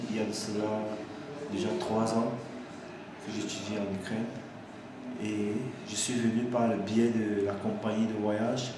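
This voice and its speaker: Young male student, flat, even delivery, stop-and-start testimonial, very roomy recording with steady background noise. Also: on the low side. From Cameroon. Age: young adult. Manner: halting, many restarts and repeated words. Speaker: engineering student giving a testimonial. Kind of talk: unscripted testimonial to camera.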